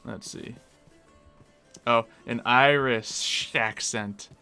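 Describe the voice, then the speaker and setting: A man with a faint Philadelphia accent talks casually to his live-stream audience while he games, thinking aloud and laughing often. His voice sits at an ordinary pitch but sounds bright and forward. Quiet game music runs behind him. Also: gravelly, smooth, no echo. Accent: slight Philadelphia. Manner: chatty, musing aloud, quick to laugh.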